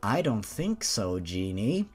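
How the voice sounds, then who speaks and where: A man's voice: fairly low pitch, sing-song, slow, breathy edge, pitched below the performer's own voice, full character voice. A man voicing a sadistic young female killer creature who taunts with menace in a dramatic horror story reading. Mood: gloating menace.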